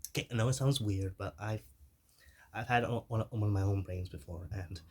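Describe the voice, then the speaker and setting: Man playing a shy, low-voiced, soft-spoken zombie. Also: a little hiss or hum, lightly acted. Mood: coaxing. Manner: hesitant, halting, apologetic, drifting into whispers. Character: shy, awkward, soft-hearted young male zombie. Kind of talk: ASMR roleplay, softly spoken to the listener.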